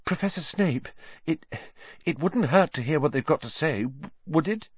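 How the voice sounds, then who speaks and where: A man's voice: a little high, animated, moderate pace, slightly rough, dry recording, lo-fi sound, pitched above the performer's own voice. A man voicing a polite teenage schoolgirl in an audiobook, breathless and hesitant, stammering but brave. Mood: nervous pleading.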